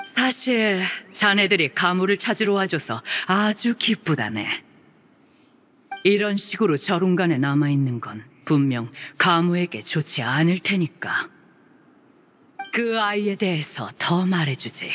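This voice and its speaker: Theatrical, courtly old immortal. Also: male voice, high-pitched, expressive, a bit bright, slight background noise, full character voice. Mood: courtly, gentle. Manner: old-fashioned elderly speech, poetic and measured. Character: elderly adeptus, courtly, kindly, theatrical storyteller. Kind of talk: video game voice acting.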